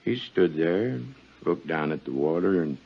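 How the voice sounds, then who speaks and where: A man playing a stubborn, pious witness in 1950s radio drama, laconic and evasive, with a gravelly voice. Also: low voice, animated, moderate pace, heavily acted. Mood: halting, grim. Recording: dull 1950s radio recording.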